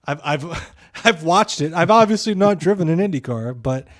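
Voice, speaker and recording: A man's voice: on the high side, expressive, normal speed, no echo, studio quality. A man co-hosting a podcast with casual, opinionated commentary, emphatic, repeating phrases for stress and swearing freely. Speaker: podcast co-host.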